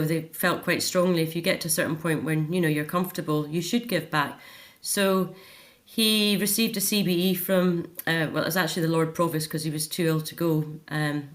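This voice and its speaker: A middle-aged woman speaks in a fairly low register. She is a guest in a personal interview, talking reflectively and articulately in a natural conversational style.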